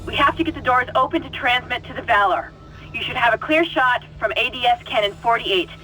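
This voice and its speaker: Stressed bossy crew lady on the radio in a space game, sharp urgent voice, bit of noise. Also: on the high side, brisk, low-quality recording. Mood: alarmed, urgent. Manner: urgent, clipped, giving orders. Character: tense, bossy young woman crew member guiding an engineer over radio. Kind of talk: video game voice acting.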